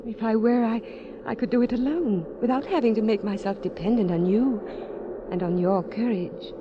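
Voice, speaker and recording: An actress playing an earnest, secretly desperate married woman climber in a 1940s radio drama, with hushed pleading and dramatic pauses. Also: on the high side, crowd noise, natural voice. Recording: muffled, noisy 1940s radio recording.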